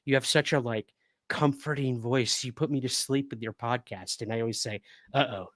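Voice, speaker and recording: Calm-voiced man hosting a livestream. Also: medium pitch, moderate pace, no echo, clean studio sound. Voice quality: calming voice. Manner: upbeat, thanks viewers and reads chat aloud. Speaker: livestream podcast host. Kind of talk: casual livestream chat with viewers. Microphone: new studio microphone.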